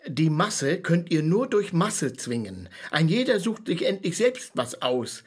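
An actor playing a grumpy, mocking, down-to-earth sidekick in a comic radio play, with a northern Low German accent. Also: male voice, big pitch swings, unhurried, husky, exaggerated voice. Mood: reciting. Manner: dry, sardonic, grumbling.

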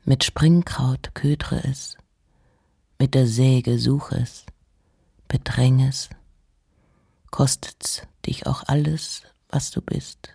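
A reader delivering letters and poems in a measured, hushed and breathy voice, with deliberate pauses. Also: medium pitch, steady tone, whispered. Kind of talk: literary reading of letters and poems.

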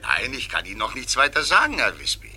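An actor playing a placating, official police inspector on the telephone in a 1960s radio drama. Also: male voice, mid-range voice, huge pitch swings, fast, a crowd in the background.

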